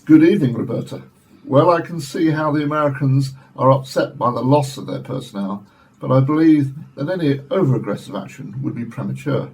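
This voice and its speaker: A man playing an academic scientist in a radio drama, arguing in a formal, measured and earnest way. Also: mid-range voice, darkish tone, slightly rough, rough recording. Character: measured Oxford scientist defending alien visitors. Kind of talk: radio play acting as studio discussion.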